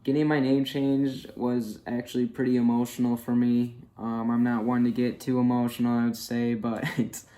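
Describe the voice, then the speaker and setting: A man's voice: medium pitch, flat delivery, normal speed, hardly any pauses, mellow, low-quality recording. A twenty-one-year-old man chatting candidly in a casual personal vlog, with many fillers. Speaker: vlogger.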